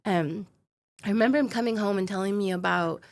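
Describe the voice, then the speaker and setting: A woman's voice: medium pitch, brisk. A woman in her forties, a company founder, telling her story in an easygoing way with plenty of ums and laughs. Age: 40s. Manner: easygoing, laughs often, many um fillers. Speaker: guest, defense company founder. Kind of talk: conversational interview storytelling.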